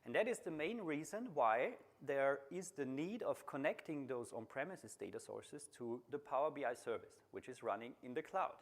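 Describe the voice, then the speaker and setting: A middle-aged man from Austria with an Austrian German accent, German being his first language, giving a technical conference talk in a large room with steady, repetitive explaining. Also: medium pitch, moderate pace, few pauses. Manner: plain, steady explaining with repeated phrasing and some restarts. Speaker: conference speaker, BI consultant.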